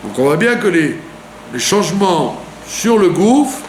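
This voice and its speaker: Animated rabbi teaching in an echoey room. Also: male voice, high voice, measured pace. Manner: animated teaching, with rhetorical questions and frequent "d'accord?". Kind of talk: Torah lesson sermon. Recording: echoey room with faint hum.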